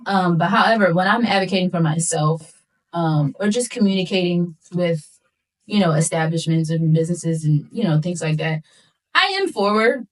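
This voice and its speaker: A woman's voice: lowish voice, animated, normal speed, rough recording. An American woman in her twenties talking casually and earnestly as a guest in a podcast interview, explaining her thoughts. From Philadelphia, United States. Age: 20s. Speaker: guest, singer.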